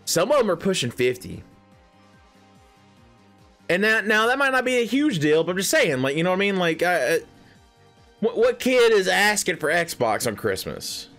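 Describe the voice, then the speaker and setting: A man's voice: high-pitched, sing-song, normal speed, long pauses, a bit bright, faint music behind. A thirty-year-old man giving his opinions in a casual gaming vlog, rambling and emphatic, with restarts, slang and the odd swear word. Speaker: gaming video creator.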